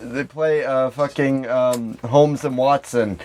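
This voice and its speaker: Casual man riffing, medium-pitched voice. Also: fairly even intonation, slow pace, background noise. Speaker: podcast co-host. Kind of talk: casual comedic chat.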